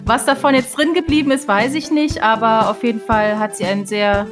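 A woman's voice: fairly high pitch, steady tone, fairly quick, over music. A woman co-hosting a podcast in a casual, chatty style. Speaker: podcast co-host.

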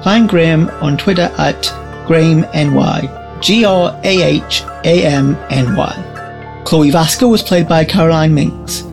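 A man's voice: a little high, unhurried, gravelly. A man reading scripted end credits as the announcer of an audio drama. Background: plucked guitar music.

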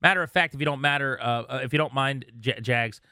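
A man hosting a sports radio interview, talking conversationally and recalling games in an animated way. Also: mid-range voice, fast talker, smooth, no room sound, studio quality.

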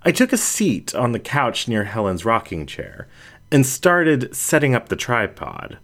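A man's voice: mid-range voice, huge pitch swings, unhurried, a bit bright. A man reading a scripted first-person story aloud as an audiobook narrator.

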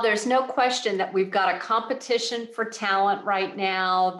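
Woman, podcast host, upbeat, room echo on the voice. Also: lowish voice. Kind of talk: corporate podcast interview. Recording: roomy echo.

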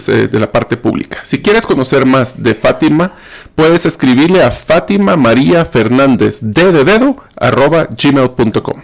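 A man's voice: mid-range voice, creaky. A man hosting a podcast, reading a guest's bio and then opening the interview. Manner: reads guest bio, then chats warmly. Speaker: podcast host.

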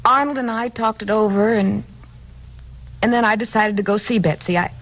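A woman's voice: mid-range voice, normal speed, close to an everyday voice. An actress with an American accent playing a polite, hesitant, sorrowful married woman in a 1950s radio drama. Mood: earnest. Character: soft-spoken, hesitant married woman pleading her sorrowful case. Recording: noisy 1950s radio recording.

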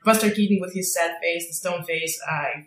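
Young woman, bright, tense voice, hesitant with fillers, roomy echo. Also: on the low side, moderate pace. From Russia. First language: Russian. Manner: thoughtful, hesitant with many fillers. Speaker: podcast guest. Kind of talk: casual chat.

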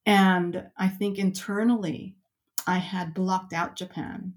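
A woman's voice: fairly low pitch, steady tone, slow pace. A woman guest on a podcast, telling a personal story in a reflective, hesitant way, with fillers and restarts. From Canada.

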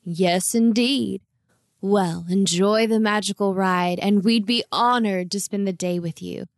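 A woman voicing a kindly, wise old male goat in a children's storybook, gentle, encouraging and old-fashioned in his courtesy. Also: mid-range voice, steady tone, a little slow, no echo, clean studio sound, close to an everyday voice. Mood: gentle, reassuring.

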